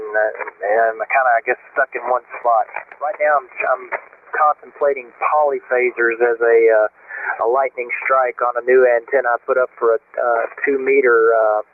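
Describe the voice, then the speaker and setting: A man chatting casually over amateur radio in a folksy, deliberate way, repeating words as he goes. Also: medium pitch. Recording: noisy narrowband shortwave radio transmission. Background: radio static.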